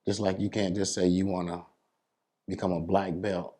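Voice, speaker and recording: A man with a low voice and an American accent chats casually in an interview. He explains his craft as a working DJ and often checks that the listener follows. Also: little pitch movement, normal speed, dark tone. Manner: explanatory, repeats "you know what I'm saying". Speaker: DJ and entertainer being interviewed.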